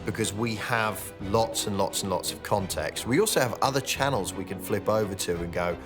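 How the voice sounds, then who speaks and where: A man's voice: fairly low pitch, normal speed, over music. A man chatting casually and humorously as a documentary interviewee.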